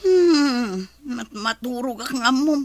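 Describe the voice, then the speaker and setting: An actress with an Ilocano accent playing a dry, defiant, long-suffering wife in a radio comedy drama. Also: female voice, fairly high pitch, expressive, slow pace, soft background music.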